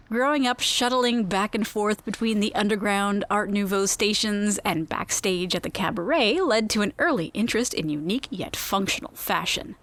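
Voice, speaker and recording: A woman's voice: mid-range voice, a bit bright. A woman hosting a fiction podcast, chatting playfully and reading a short bio in a gushing, theatrical way. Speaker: podcast host.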